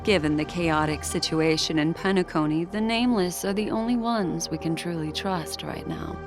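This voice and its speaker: A woman's voice: on the low side, normal speed, close to an everyday voice. A woman voicing a poised, mysterious woman who reads memories, speaking in a polished, courteous, lightly teasing way. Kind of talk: video game voice acting. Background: game music.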